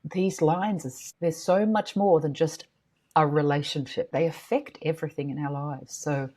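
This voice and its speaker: A middle-aged woman talks in a low, husky voice. She is earnest and passionate as a podcast guest, swearing lightly in casual speech. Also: steady tone, moderate pace, dark tone. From Australia. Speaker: guest, school co-founder. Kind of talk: podcast interview.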